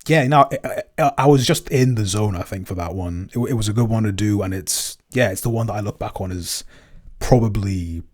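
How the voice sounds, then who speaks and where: A man's voice: lowish voice, a little fast, a little mellow. A man co-hosting a casual podcast, talking hesitantly with many ums and restarts. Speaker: podcast co-host and composer.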